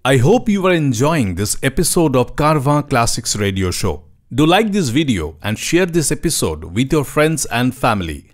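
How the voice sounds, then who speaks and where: A man's voice: mid-range voice, sing-song, drawn-out words. A man with an Indian accent reading a scripted promotional announcement for a show.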